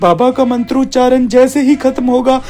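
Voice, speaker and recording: A woman's voice: high-pitched, steady tone, normal speed, warm tone, close to an everyday voice. A woman voicing an anxious male disciple of a holy man in a cartoon story, urgent and respectful as he warns. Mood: alarmed, imploring. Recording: background music under the voice.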